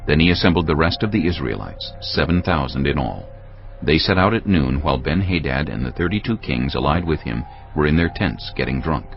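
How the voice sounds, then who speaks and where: A man's voice: very deep voice, expressive, fairly quick, gravelly. A man narrating a dramatised Bible story as a scripted reading. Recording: dull lo-fi audio with music. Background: background music.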